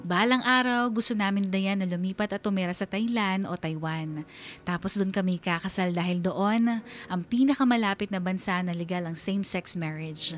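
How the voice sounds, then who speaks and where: A woman's voice: mid-range voice, normal speed. A woman telling a first-person love story on radio in an emotive, confessional, dramatic style. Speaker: radio storyteller reading a listener's letter. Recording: music under the voice. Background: background music.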